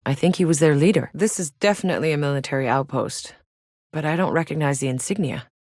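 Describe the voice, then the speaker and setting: A tough, wry female scout with a low voice, recorded very dry and close. She delivers terse, matter-of-fact video game lines like a soldier sizing up hostile ground. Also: quick pace, darkish tone, no room sound. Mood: matter-of-fact, focused.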